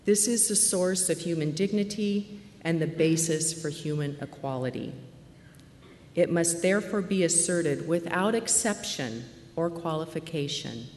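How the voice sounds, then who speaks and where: A soft-spoken woman in her forties, a deaconess, giving an earnest talk at a conference. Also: low voice, expressive, unhurried, a little hiss or hum. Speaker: deaconess speaker. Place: large hall. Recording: echoey hall with faint ambience.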